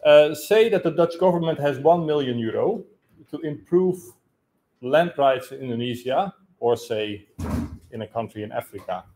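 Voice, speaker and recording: A man in his thirties standing in a conference room, asking a long spontaneous question in a loud voice with many hesitations, recorded by a room camera. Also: mid-range voice, animated, moderate pace. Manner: loud, hesitant, gesturing as he talks. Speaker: audience member asking a question. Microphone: room camera, no handheld mic.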